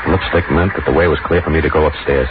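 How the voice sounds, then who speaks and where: A grim, furtive man narrates a 1940s radio thriller in short, deliberate sentences. His voice is extremely low. The old recording is dull and buried in heavy noise. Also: fairly even intonation. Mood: tense, deliberate.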